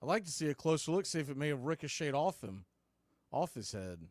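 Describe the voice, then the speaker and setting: High-pitched man calling baseball play-by-play. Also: big pitch swings, brisk, no room sound, studio quality. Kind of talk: live radio-style baseball commentary.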